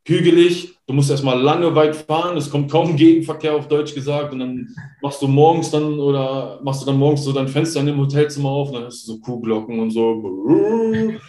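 Guest spot: German man in his thirties, rambling chatty storyteller, mid-pitched, roomy echoey sound. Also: moderate pace, few pauses, mellow. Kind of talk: casual interview chat. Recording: roomy echo.

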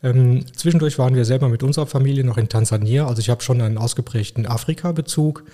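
Thoughtful middle-aged man, mellow voice. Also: medium pitch, fast. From Koblenz, Germany. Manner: thoughtful, many hesitations. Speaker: podcast guest, physician. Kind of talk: casual interview talk.

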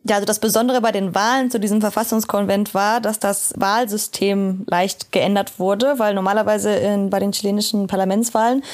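Woman guest, mid-pitched, informative explainer, steady conversational delivery. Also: fairly quick, hardly any pauses. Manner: fluent, explanatory, occasional fillers. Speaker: guest expert.

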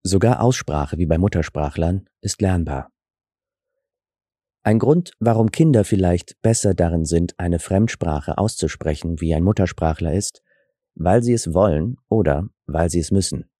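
A man's voice: deep voice, a little slow, mellow, dry recording, studio quality. A man who grew up in Germany with Russian as his first language, hosting a reflective, explanatory solo podcast with self-deprecating asides. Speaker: podcast host. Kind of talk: scripted solo podcast talk.

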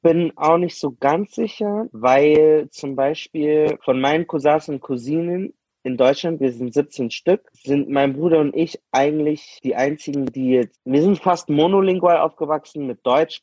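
A man's voice: on the high side, normal speed, a little mellow, dry recording, lo-fi sound. A young man in a casual group discussion, thinking aloud with rambling restarts. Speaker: panel guest.

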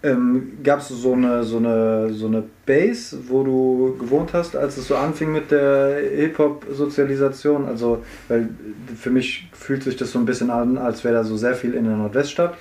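A man interviewing casually, with short murmured backchannels and relaxed questions. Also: mid-range voice, normal speed, hardly any pauses, distant mic. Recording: roomy echo, off-mic.

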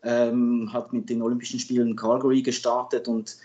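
A man's voice: medium pitch, flat delivery, a little fast, dark tone, muffled recording. Hesitant Swiss man in his fifties, native French speaker. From Switzerland. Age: 50s. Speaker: sports journalist and guest expert. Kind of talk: conversational interview answers.

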